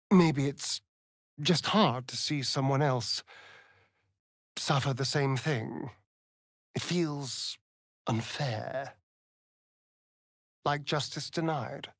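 An actor playing a sardonic, vain vampire rogue in a video game, with a theatrical, arch, drawling delivery. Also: male voice, mid-range voice, drawn-out words, long pauses, no echo, clean studio sound. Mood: subdued. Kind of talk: video game character voice lines.

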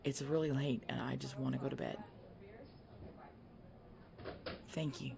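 A woman playing a tough, weary, injured biker girlfriend in an ASMR roleplay audio drama, speaking haltingly and protective of her boyfriend. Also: extremely low pitch, steady tone, creaky, noisy background, heavily acted. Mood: exasperated.

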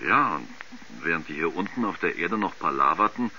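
An actor playing an irreverent mission radio operator, answering bluntly and colloquially in an old radio play. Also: male voice, low voice, lively intonation, brisk, pushed and bright, full character voice. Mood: blunt, matter-of-fact. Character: plain-spoken, irreverent male mission radio operator being interviewed. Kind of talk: 1970s German radio drama acting. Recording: dull vintage radio recording, faint background noise.

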